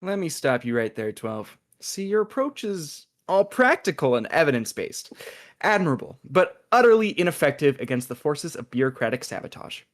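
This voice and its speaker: A man's voice: a little high, big pitch swings, normal speed. A man playing a smug, chatty, scheming agent in a sci-fi comedy table read, with a glib, dramatic manner. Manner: glib, dramatic, stammers when cornered.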